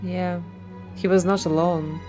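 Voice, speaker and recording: A woman's voice: on the low side, level tone, measured pace, a little mellow. A woman streaming a story game, giving casual live reactions as she plays. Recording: game music behind the voice.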